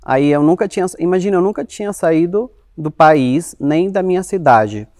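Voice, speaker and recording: Lively Uruguayan man with a clipped dry sound. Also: a little high, normal speed, no room sound. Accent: Uruguayan Spanish. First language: Spanish. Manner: animated, expressive, quotes himself in lively voices. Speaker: guest. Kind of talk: casual interview storytelling.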